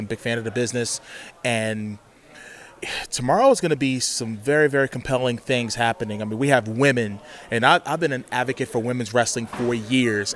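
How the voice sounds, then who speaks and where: A man's voice: mid-range voice, a bit bright. A man being interviewed as a pro wrestler, chatting casually and earnestly, often repeating and rephrasing as he goes.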